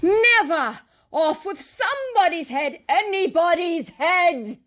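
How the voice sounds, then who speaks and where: A tyrannical Queen in a radio play, eager for beheadings. The voice is high and swooping, with drawn-out, commanding words over faint music. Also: animated, fairly bright tone, exaggerated voice. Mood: furious, commanding. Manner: imperious, commanding. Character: bloodthirsty, imperious Queen demanding beheadings.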